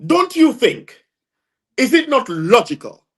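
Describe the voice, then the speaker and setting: A man's voice: extremely high pitch, sing-song, takes it slowly, fairly bright tone, slightly rough. A man with a Gambian accent speaking as an interview guest, emphatic and insistent, repeating phrases for stress. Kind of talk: impassioned interview testimony.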